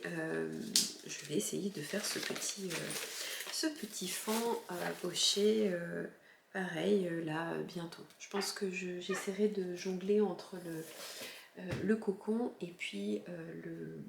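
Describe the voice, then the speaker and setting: A woman's voice: mid-range voice, creaky, roomy sound, background noise. A woman from southern France hosting a knitting podcast, showing her purchases in a casual, hesitant way with many fillers and restarts. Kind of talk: casual show-and-tell chat.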